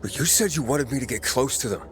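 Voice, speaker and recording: An actor playing a self-assured young wanderer, the hero of a video game, casual and confident. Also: male voice, mid-range voice, lively intonation, fast talker, lightly acted. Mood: calm, defensive. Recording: music under the voice.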